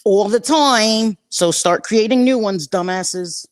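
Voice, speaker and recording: Blunt husky woman in her fifties. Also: mid-range voice, slow. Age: 50s. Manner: blunt, profane, mocking. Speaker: co-host. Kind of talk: casual gossip livestream chat.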